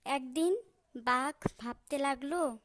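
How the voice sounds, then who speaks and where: A woman narrates a scripted children's fable. Her voice is very high and her pace is slow.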